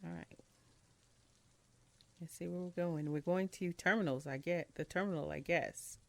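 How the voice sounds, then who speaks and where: A woman's voice: lowish voice, normal speed, gravelly, dry recording. A woman doing a casual let's-play, reading on-screen game text aloud with chatty murmured asides, over game music. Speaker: video game streamer. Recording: music playing behind the voice.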